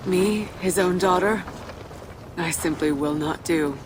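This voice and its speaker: An actress playing a haughty young fire-giant princess in a video game, sardonic and defiant. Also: female voice, fairly low pitch, slow, background noise. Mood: scornful, defiant. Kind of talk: video game cutscene acting.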